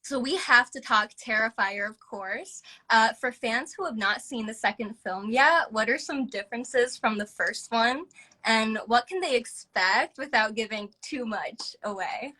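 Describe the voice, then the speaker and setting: A woman's voice: fairly high pitch, measured pace, sharp tone. A woman hosting a casual live-stream interview, congested and nasal from a cold, with a bubbly, slightly awkward manner. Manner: bubbly, self-described awkward. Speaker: talk show host. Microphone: home microphone on a video call.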